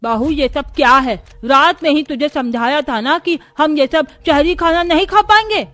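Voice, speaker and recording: A woman's voice: sits high, moderate pace, fairly bright tone. A woman voicing a stern, traditional old grandmother-in-law who scolds in disapproval, in a cartoon family drama.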